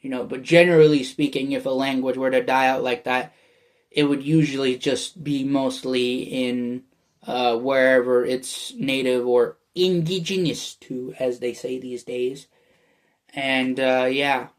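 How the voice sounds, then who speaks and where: A man's voice: mid-range voice, steady tone, a little slow. A young man from the United States with an American accent that people mistake for Canadian, talking in a meandering casual monologue full of fillers and restarts. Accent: American, often heard as Canadian. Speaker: vlogger.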